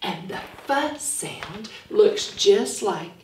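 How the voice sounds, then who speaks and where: A middle-aged woman teaching phonics and telling a story to young children, animated and carefully enunciated. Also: medium pitch, extremely slow, a little hiss or hum. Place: small classroom, indoors. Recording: roomy classroom, mic at a distance.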